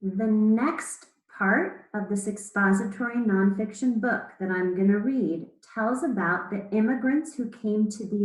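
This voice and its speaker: A woman with a mid-pitched voice reads aloud as an elementary teacher would. Her delivery is measured and explanatory, with pauses between phrases. The recording is roomy and echoey. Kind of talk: read-aloud lesson to children.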